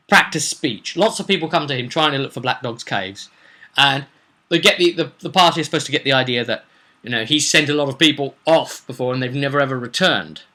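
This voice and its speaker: A man informally recapping a tabletop roleplay session as game master, rambling and animated as he tells the story. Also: medium pitch, a little fast. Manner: rambling, animated, acts out quotes.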